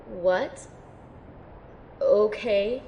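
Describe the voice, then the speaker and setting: A woman playing an earnest, unsure young female recruit in an audio drama, sounding sincere, gentle and tentative. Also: medium pitch, drawn-out words, creaky, a little hiss or hum. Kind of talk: audio drama acting and first-person narration.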